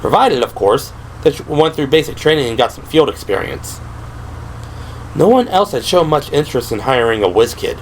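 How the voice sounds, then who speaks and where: A man's voice: mid-range voice, lively intonation, normal speed, slight background noise, low-quality recording. A man reading scripted third-person narration for an audio drama. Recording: music behind the voice.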